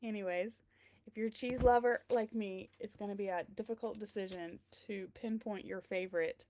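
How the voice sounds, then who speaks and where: A woman's voice: mid-range voice, fairly even intonation, normal speed, a little mellow, slight background noise. A woman hosting a read-aloud, chatting casually and speaking directly to her viewers. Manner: chatty, addresses listeners directly with questions.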